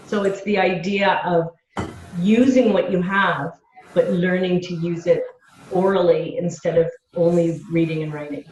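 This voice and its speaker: A woman's voice: lowish voice, fairly even intonation, a little slow, a little mellow, slight background noise. Canadian woman on an echoey, dull video call. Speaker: teacher. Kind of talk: casual chat in an online seminar.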